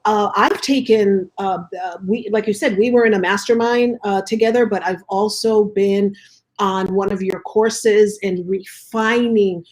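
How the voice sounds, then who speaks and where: A woman's voice: medium pitch, steady tone, a little slow. Admiring woman interview host. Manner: admiring, talkative. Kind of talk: casual interview chat.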